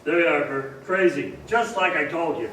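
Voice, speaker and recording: Male actor as hard-nosed working stiff, high pitch, curt, suspicious, hostile, radio drama, very echoey distant hall. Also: moderate pace, fairly bright tone, lightly acted. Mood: wary. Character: gruff, hostile working man of a tough town. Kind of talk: stage radio-play acting. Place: live stage in a large echoing hall. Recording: echoey hall from far off, faint noise.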